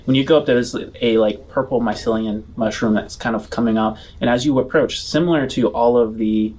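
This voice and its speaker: A man acting as game master, improvising scene descriptions in a halting, descriptive way. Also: medium pitch, fairly even intonation, darkish tone. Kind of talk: improvised tabletop game narration.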